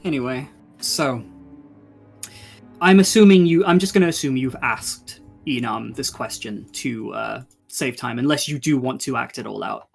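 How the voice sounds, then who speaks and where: A man's voice: mid-range voice, moderate pace, gravelly, soft background music. A man running a tabletop game as game master, casually narrating and thinking aloud.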